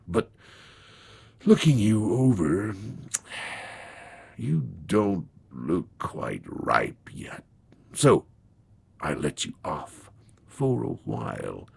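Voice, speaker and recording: Real deep raspy old reaper, folksy and wry, storybook character, takes his sweet time. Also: darkish tone, heavily acted. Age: older. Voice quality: gravelly, raspy. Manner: slow, drawn-out, deliberate folksy delivery. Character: grim, patient old scythe-bearing reaper, folksy and wry. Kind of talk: dramatized storybook reading.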